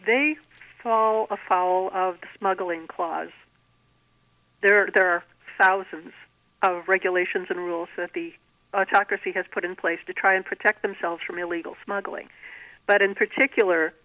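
A woman's voice: mid-range voice, fairly even intonation, moderate pace, no room sound. Woman guest on a thin phone line. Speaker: guest author. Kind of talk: conversational interview about own writing. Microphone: telephone. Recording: thin, band-limited telephone line.

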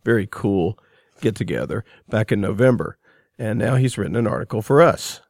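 A man's voice: on the low side, lively intonation, moderate pace, gravelly, darkish tone, dry recording. Man hosting a podcast, dry studio mic. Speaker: podcast host. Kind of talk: scripted intro then casual interview.

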